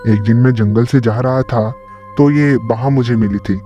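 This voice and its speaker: A nervous cartoon neighbour, acted by a man, stammers and pleads in a dark, mellow voice. The sound is a little dull, with music underneath. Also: medium pitch, normal speed, lightly acted. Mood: nervous pleading. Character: nervous, cowardly male neighbour, a father.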